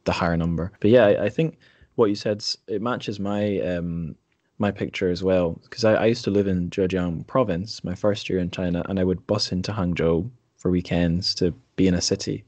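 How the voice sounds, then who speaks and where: A man hosting a podcast, discussing books casually in a halting, tentative way. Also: deep voice, fairly even intonation, darkish tone, no echo. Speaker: podcast host.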